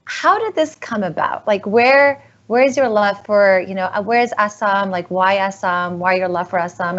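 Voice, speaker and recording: Chatty woman host on a muffled call. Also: medium pitch. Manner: chatty, with many likes and you-knows. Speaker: interview host. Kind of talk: casual interview questions.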